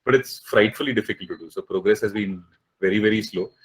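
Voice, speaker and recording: A man's voice: on the low side, fast, poor audio. A man hosting a podcast, talking casually, frank and agreeable. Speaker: podcast host, professor. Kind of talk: casual conversation.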